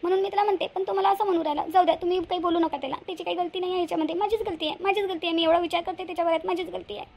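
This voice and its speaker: Woman as a high, coaxing young schemer. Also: fairly even intonation, racing, hardly any pauses, a little mellow. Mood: meek, pleading. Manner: coaxing, pleading, repetitive. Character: sweet-talking, scheming young woman, clingy and self-pleased. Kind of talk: homemade cartoon voice acting.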